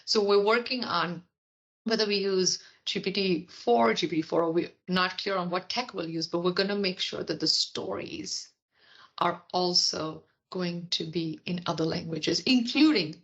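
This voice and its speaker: A woman from India with a softened Indian English accent, a guest on a podcast interview, explaining at length and acting out quotes with animation. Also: lowish voice, normal speed, highs cut off. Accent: Indian English, softened toward American. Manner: animated storyteller, acts out quotes. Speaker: product leader guest.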